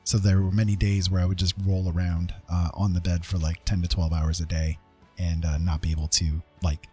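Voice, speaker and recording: Casting: American male streamer, husky, slightly deep, chatting casually with stop-start repetitions over quiet music. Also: little pitch movement. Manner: halting, often repeats words. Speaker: livestream host. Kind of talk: casual livestream chat.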